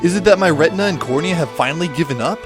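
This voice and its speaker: A man's voice: a little high, animated, racing, music in the background, natural voice. A man playing a bewildered, wry teenage schoolboy who narrates an audio drama in a wordy, dramatic way. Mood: incredulous, alarmed. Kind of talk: scripted first-person audio drama narration.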